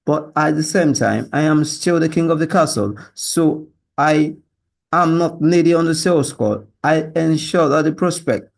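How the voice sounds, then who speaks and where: Nigerian guy w learned british accent, yoruba native, bit high voice, creaky, pushy sales pitch to camera, says yeah? a lot. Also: moderate pace. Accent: learned British with Nigerian influence. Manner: assertive, repetitive, tags statements with "yeah?" and "right?". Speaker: sales closer, job applicant. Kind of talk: self-presentation monologue to camera.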